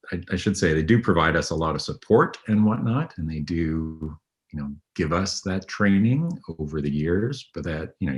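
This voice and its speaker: A man in his thirties with an extremely deep voice talks through an interview as a veterinary radiologist. He speaks in a low, even, unhurried way, filling pauses with ums. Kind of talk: conversational interview.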